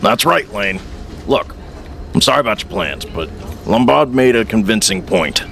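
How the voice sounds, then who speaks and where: A man playing a gruff, exasperated middle-aged newspaper editor in an audio drama, brusque and wry with a gravelly voice. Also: fairly low pitch, lively intonation, moderate pace, fairly bright tone, slight background noise. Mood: stern, persuasive.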